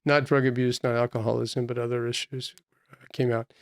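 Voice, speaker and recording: A man hosting an interview podcast, asking questions in an unhurried, conversational way, often restarting his phrases. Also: mid-range voice, little pitch movement. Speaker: podcast host, physician.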